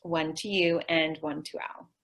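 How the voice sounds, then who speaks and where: A woman's voice: low voice, steady tone, a little slow, vocal fry. A woman playing a naive, scatterbrained and stubbornly optimistic young woman in an old-time radio comedy. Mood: defensive optimism.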